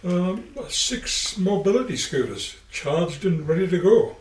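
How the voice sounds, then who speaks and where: A man's voice: high-pitched, animated, measured pace, darkish tone, echoey room, recorded from a distance, low-quality recording. A man playing a hesitant delivery driver. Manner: hesitant, matter-of-fact. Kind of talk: amateur radio play acting.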